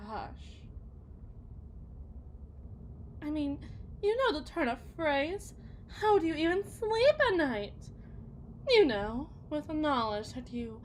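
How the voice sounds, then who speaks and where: A woman playing a bitter, self-loathing wife and mother in an ASMR roleplay, speaking in a theatrical, halting, rambling lament. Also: high voice, slight background noise. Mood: bitter, anguished.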